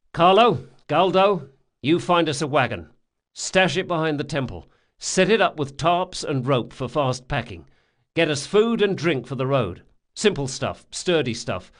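A man voicing a shrewd male leader of thieves in an audiobook, giving brisk, emphatic orders. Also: fairly high pitch, pitched above the performer's own voice.

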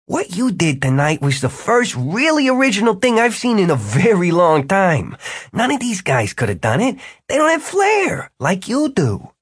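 Man voicing a showy, high-pitched wizard ruler. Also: huge pitch swings, moderate pace, breathy edge, dry recording, clean studio sound, full character voice. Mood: smug, persuasive. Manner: theatrical, emphatic salesman's delivery. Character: showy, persuasive male wizard ruler, grandly self-assured. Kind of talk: audiobook character dialogue.

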